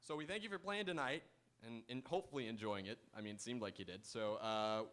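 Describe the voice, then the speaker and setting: A man's voice: medium pitch, fast talker, a bit bright, a little hiss or hum. Male live quiz show host. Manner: playful banter with contestants and audience. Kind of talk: live game show hosting with ad reads. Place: auditorium stage.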